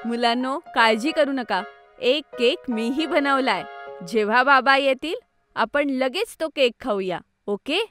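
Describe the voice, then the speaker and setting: A woman playing a kindly, gently firm mother of young children in a cartoon, speaking reassuringly. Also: high voice, slow pace, pauses often, soft background music. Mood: amused, reassuring. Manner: reassuring, instructive.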